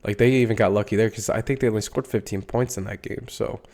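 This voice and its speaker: Young male podcast host, stuffy nose, lower register, loose filler-heavy sports chat. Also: fairly even intonation, quick pace, darkish tone. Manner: rambling, filler-heavy, swears casually. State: congested. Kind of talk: casual solo sports talk.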